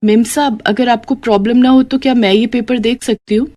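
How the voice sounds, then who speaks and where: A woman's voice: on the high side, steady tone, a little fast, warm tone, pitched above the performer's own voice, natural voice. A woman voicing a poor, earnest and polite young woman in a cartoon story. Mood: polite, concerned. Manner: polite, deferential. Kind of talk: acted dialogue in a Hindi cartoon story.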